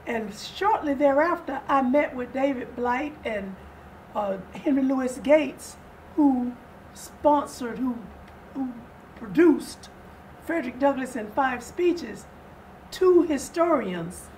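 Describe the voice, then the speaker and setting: An older woman in her seventies giving interview answers in a measured, formal, deliberate manner. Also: sits high, expressive, a little mellow. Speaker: guest, readers theater director. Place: furnished sitting-room set indoors. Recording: distant mic, audible room noise.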